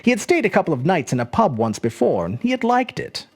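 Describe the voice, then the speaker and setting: A storyteller narrating a scripted short story in an expressive, measured, dramatic manner. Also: medium pitch. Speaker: storyteller, host.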